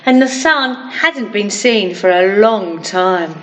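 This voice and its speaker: A woman reading a children's story aloud in an expressive, deliberate way for young listeners. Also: mid-range voice, low-quality recording. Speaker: primary school storytime reader.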